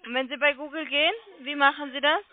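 Woman, high voice, telephone sales caller, persistent, polite, dry narrow phone sound. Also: fairly even intonation, fast talker. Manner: persistent, polite, coaxing with check-back questions. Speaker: telemarketing caller selling online trading. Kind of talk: sales pitch over the phone. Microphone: telephone call. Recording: muffled phone line.

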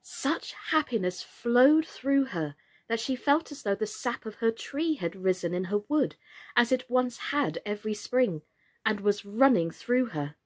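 Female storyteller, 30s, highish and animated in pitch, unhurried, deliberate children's narration. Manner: measured, deliberate. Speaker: storyteller, actress. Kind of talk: expressive children's story reading. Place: small furnished room indoors.

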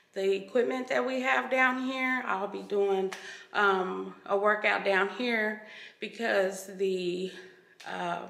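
A woman's voice: mid-range voice, creaky, a bit bright. A middle-aged woman chatting casually to camera as a vlogger, recorded indoors on a camera microphone. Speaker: vlogger, channel host. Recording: roomy echo from camera microphone.

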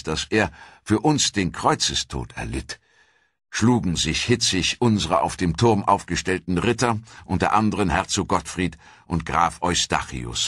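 A man reading a scripted historical documentary narration in a solemn, measured storytelling manner. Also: low-pitched, a bit bright, breathy edge, dry recording, clean studio sound.

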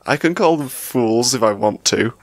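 A man co-hosting a podcast, opening in a slow, mock-grand and teasing way. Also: mid-range voice, lively intonation, no echo. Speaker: podcast co-host. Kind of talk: casual chat.

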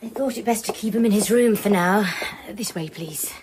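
An actress as a courteous, efficient hotel receptionist in a radio play. Her mid-pitched voice sits over clear background noise and clicks. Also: moderate pace, lightly acted. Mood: polite, discreet. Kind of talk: radio drama acting.

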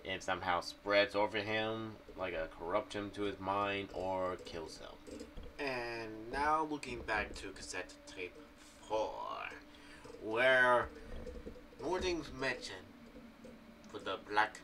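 A young man giving casual vlog commentary in a rambling, halting way, often repeating himself. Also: lowish voice, sharp tone. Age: young adult. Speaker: YouTube vlogger. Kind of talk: casual commentary on a horror audio series. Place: small home room. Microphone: condenser mic with pop filter on boom arm. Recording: distant-sounding mic with faint background noise.

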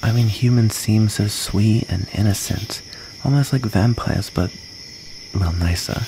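Casting: male actor as gentle outcast young vampire for ASMR roleplay, deep voice, slow halting delivery, shy and wounded. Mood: hesitant, confiding. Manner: hesitant, halting, soft-hearted delivery. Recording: noisy, cricket ambience behind.

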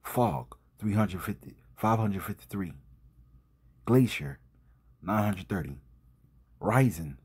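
A man giving informal video commentary as host, husky and breathy, emphatic and halting, full of rhetorical questions. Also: lowish voice, animated, a little mellow.

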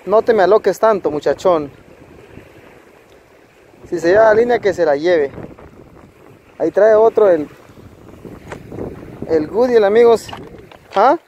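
A man's voice: high-pitched, a little mellow, muffled recording. A man narrating a fishing trip to his viewers from a rocky lakeshore, cheering on and coaching his friend as he reels in fish. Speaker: fishing vlogger. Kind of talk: casual outdoor narration to viewers. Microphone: handheld phone camera. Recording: wind and water noise on phone mic. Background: wind and rushing water.

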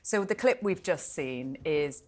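A British woman in her forties with a notably low voice. She speaks as a studio pundit, measured and articulate, leaning on key words as she reviews. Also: animated, a little mellow. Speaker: royal editor, studio pundit. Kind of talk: live broadcast review and commentary. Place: television studio.

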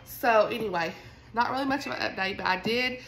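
A woman's voice: fairly high pitch, a little fast, fairly bright tone, soft background music, rough recording. A woman with a Southern US accent and a congested voice, chatting informally and expressively as a book vlogger. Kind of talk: casual chatty vlog. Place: at home.

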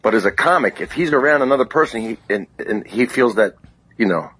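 A plain-spoken, opinionated man with a husky voice, chatting casually as a comedian guest in an interview. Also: medium pitch, expressive, brisk, fairly bright tone, low-quality recording.

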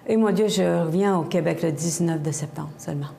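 A middle-aged woman with an Acadian French accent and a strongly gravelly, mellow voice, talking as a singer-songwriter guest. She answers in a lively, expressive way, full of fillers and restarts. Faint noise sits under the studio lapel mic. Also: sits low, fairly quick. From Havre-Saint-Pierre, Quebec. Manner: expressive, gestures a lot, many restarts and fillers. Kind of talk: animated TV interview answers. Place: TV studio set.

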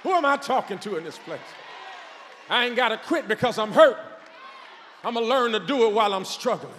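A man in his forties, a pastor, preaching a sermon on a large church stage with a handheld microphone, shouting and calling on the crowd to respond. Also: extremely high pitch, expressive, brisk, a little hiss or hum. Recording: long hall reverb.

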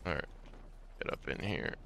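A man hosting casual gameplay commentary, raspy and hoarse from a cold, laid-back with startled outbursts. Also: normal speed, noisy background. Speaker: gaming channel host.

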